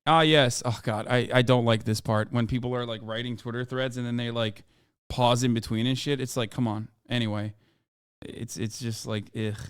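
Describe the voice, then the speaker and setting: A young man streaming casual, slangy reaction commentary, animated and full of swearing. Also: medium pitch, normal speed, clean tone, dry recording, studio quality.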